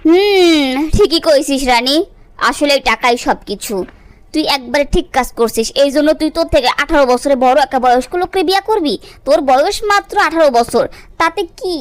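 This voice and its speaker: Woman voicing a high-pitched, mocking young friend. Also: lively intonation, brisk, dry recording. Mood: sarcastic. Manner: sarcastic, colloquial, mocking. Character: jealous, mocking young female friend, sly and sarcastic. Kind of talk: cartoon voice acting.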